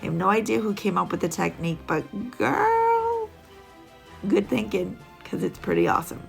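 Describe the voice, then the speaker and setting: A woman's voice: mid-range voice, big pitch swings, normal speed, vocal fry, soft background music. A woman narrating a casual nail art tutorial, chatty and rambling with little laughs, a bit sniffly.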